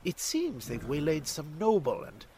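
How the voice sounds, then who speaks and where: A man's voice: a little high, wildly swooping pitch, measured pace, warm tone, a little hiss or hum, exaggerated voice. A man playing a grave, courteous villager in an audio drama, speaking in a formal, old-fashioned way.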